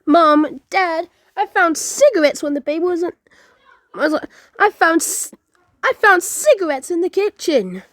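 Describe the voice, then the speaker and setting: A woman voicing an excitable kid from a TV show who blurts things out to parents, restarting sentences. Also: very high-pitched, measured pace, dry recording, studio quality, pitched above the performer's own voice, exaggerated voice. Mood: alarmed.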